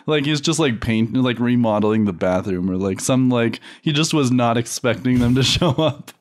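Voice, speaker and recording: A man with a mid-range voice chats casually on a comedy podcast. He is giggly and a bit halting, often starting his ideas over as he goes. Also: medium pitch, lively intonation.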